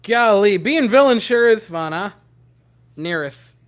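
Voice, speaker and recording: A young man with a hoarse, worn-out voice, rambling giddily to his livestream chat from home. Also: sits high, expressive, a little slow, fairly bright tone. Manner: giddy, rambling, talking to chat. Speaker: streamer.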